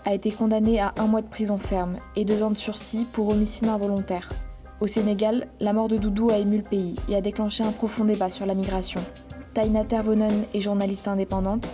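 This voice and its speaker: A woman journalist hosting a podcast, reading a scripted introduction and asking questions in a measured, deliberate way. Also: medium pitch.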